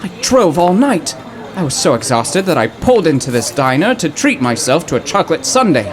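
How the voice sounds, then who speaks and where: An actor playing an earnest, awkward poetry professor, flustered and florid, in old-time radio drama style. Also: male voice, a little high, sing-song, fairly quick, a crowd in the background. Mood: bewildered.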